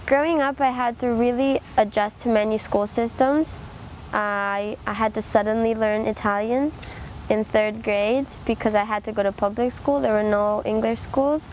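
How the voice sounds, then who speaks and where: A young university student talking in a thoughtful, measured way in an interview. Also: female voice, fairly high pitch, fairly even intonation, darkish tone, a little hiss or hum, rough recording. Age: young adult.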